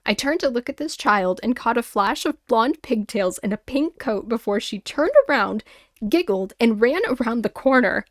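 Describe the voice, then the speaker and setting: A woman hosting a paranormal story show, reading listener tales aloud and reacting with animated, rambling, exclamatory asides. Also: a little high, moderate pace, no room sound, clean studio sound. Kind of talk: chatty commentary and reading listener stories aloud.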